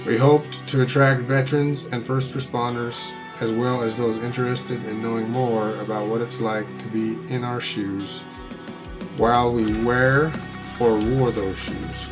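A man's voice: medium pitch, fairly even intonation, measured pace, rough recording. A middle-aged man with an American accent, reading a scripted introduction as a podcast host. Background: plucked guitar music.

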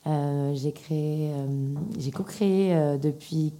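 Female podcast guest, thirties, Parisian. Low, relaxed voice, informal and hesitant delivery. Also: takes it slowly. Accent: Parisian French. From Paris region, France. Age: 30s. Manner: informal, hesitant, thinking aloud. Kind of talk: casual interview answers.

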